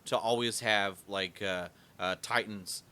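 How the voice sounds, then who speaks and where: Animated podcast host, bright voice. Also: male voice, fairly low pitch, a little slow. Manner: animated, swears casually, laughs often. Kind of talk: casual podcast chat.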